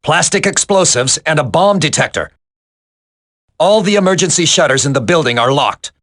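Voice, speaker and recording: An actor playing a stressed, brainy computer geek on a military team in a video game. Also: male voice, a little high, lively intonation, moderate pace, fairly bright tone, dry recording, clean studio sound. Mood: businesslike. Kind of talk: dramatic video game voice acting.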